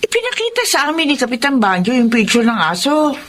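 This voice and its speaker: A woman's voice: high-pitched, huge pitch swings, a little fast, fairly bright tone, exaggerated voice. An actress with a Filipino accent playing a kind, motherly woman in a radio drama. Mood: explaining, concerned. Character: Lota, kind motherly woman pleading for others. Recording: some noise and ambience behind.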